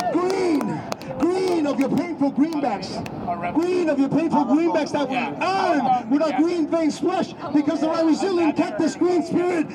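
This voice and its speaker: A man from Ecuador performing spoken-word poetry, declaiming and often shouting with big swings in loudness and pace. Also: very high-pitched.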